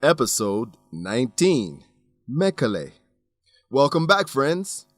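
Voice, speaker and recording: A man hosting and narrating a scripted radio drama for English learners, with welcoming, deliberate enunciation. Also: mid-range voice, very animated, no echo, soft background music. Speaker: narrator and host.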